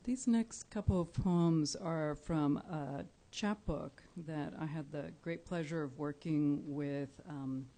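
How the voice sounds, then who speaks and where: Woman, Spanish, live poetry reader; unhurried, warm-toned, deliberate. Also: sits low. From Cuba. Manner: measured, deliberate, pausing often with soft fillers. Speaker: featured poet. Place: indoor live reading event.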